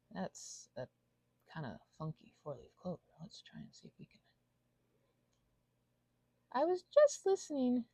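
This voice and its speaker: A woman voicing an anxious, self-deprecating little female goblin in an intimate ASMR monologue, rambling haltingly and interrupting herself with soft asides. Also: mid-range voice, vocal fry, no echo, muffled recording. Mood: distracted, hesitant.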